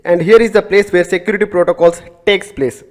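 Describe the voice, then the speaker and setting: A male instructor whose first language is Telugu, with a voice sitting a little higher than usual for a man. He lays out networking ideas step by step, rephrasing terms as he explains them. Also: fairly even intonation, a little fast, darkish tone. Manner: methodical, repeats and rephrases terms, small slips. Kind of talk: recorded networking lecture.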